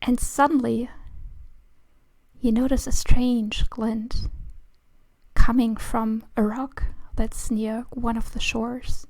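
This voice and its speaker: Slow, hushed woman guiding a meditation. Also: fairly high pitch, level tone, no room sound. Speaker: guided meditation host. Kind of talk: slow guided meditation narration.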